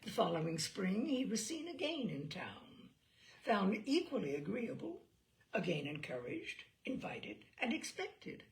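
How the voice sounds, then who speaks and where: A woman narrating a literary novel in an expressive, measured storytelling manner with deliberate pauses. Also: medium pitch, vocal fry, low-quality recording. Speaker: audiobook narrator.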